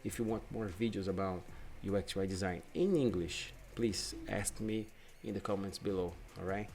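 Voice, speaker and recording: A young man from Brazil with a Brazilian Portuguese accent, hosting a screen-share software tutorial in non-native English and often restarting his phrases. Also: deep voice, fairly even intonation, normal speed, slight background noise. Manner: hesitant, repeats and restarts phrases. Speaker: UX/UI designer and channel host.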